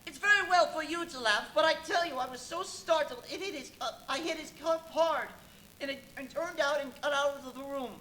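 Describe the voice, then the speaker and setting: A woman's voice: high voice, brisk, bright, forceful tone. A woman playing a rattled, earnest villager in a live radio play, telling an uncanny tale with dramatic emphasis. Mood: agitated, insistent. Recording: faintly noisy live stage recording.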